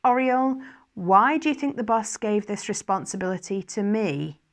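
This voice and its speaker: A cocky male archangel , as a woman reader puts him on, breezy and dismissive. He talks briskly and teasingly, as in a dramatised story reading. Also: medium pitch, animated, lightly acted.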